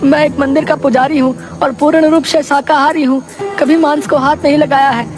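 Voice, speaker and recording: A man voicing a timid temple priest and husband in a children's story, anxious and pleading in a squeaky voice. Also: steady tone, fairly quick, over music. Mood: frightened, pleading. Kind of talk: acted children's moral story.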